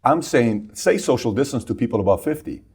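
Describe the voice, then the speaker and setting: A man's voice: medium pitch, lively intonation, brisk, darkish tone, slightly rough. A man hosting an interview, pressing his guests with challenging rhetorical questions. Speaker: host. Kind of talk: interview discussion.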